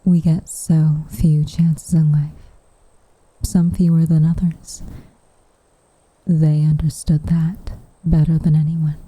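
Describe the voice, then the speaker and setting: A woman playing a gentle, wistful witch in an intimate ASMR roleplay, speaking softly and half-whispered, in a measured, tender way. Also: low voice, flat delivery, no echo, full character voice, low-quality recording. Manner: soft, breathy, tender, measured delivery. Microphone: close microphone.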